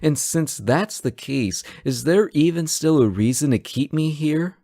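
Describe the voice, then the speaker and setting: A man playing a cheeky, teasing hostage of a supervillain in an audio roleplay, sarcastic and theatrical with drawn-out emphasis. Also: on the high side, expressive, warm tone, dry recording, clean studio sound. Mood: probing. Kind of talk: acted audio roleplay, one side of a dialogue.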